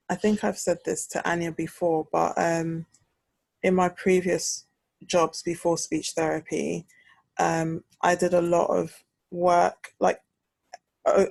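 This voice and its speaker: A woman's voice: fairly low pitch, little pitch movement, slow pace, vocal fry. A woman speaking casually and hesitantly as a podcast co-host, measuring out her thoughts. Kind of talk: casual conversation.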